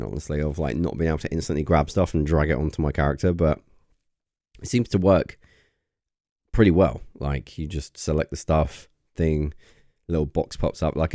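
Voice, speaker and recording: Male gaming host, lowish pitch, excitable rambler, slightly tired voice, close dry mic. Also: no echo. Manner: enthusiastic, rambling with many fillers and restarts. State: voice getting worn. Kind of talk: casual vlog commentary.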